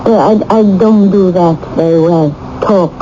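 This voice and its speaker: A dull, noisy old radio recording of an actress as an insecure young noblewoman. She speaks haltingly and earnestly, in a painfully self-doubting way, as in a period radio play. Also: fairly low pitch, natural voice. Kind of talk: radio drama acting.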